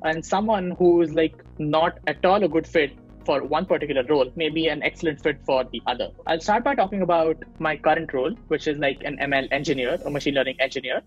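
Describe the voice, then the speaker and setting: A man's voice: fairly high pitch, brisk, highs cut off, soft background music. A young man from India, explaining his field on a video call with many fillers and restarts, recorded on earbuds in a small room at home. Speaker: machine learning engineer guest. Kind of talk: casual interview on a video call.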